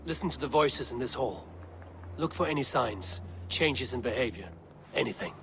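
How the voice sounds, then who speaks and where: A man playing a steady, blunt Norse warrior in a video game, speaking in terse, deliberate phrases. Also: medium pitch, vocal fry, background noise. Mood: purposeful. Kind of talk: dramatic video game dialogue.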